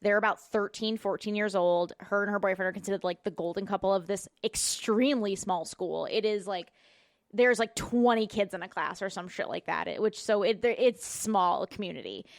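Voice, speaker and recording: A woman's voice: mid-range voice, normal speed, dry recording. Blunt Midwestern woman, dry close voice. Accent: Midwestern American. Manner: blunt, swears casually. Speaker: podcast co-host. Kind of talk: casual chat.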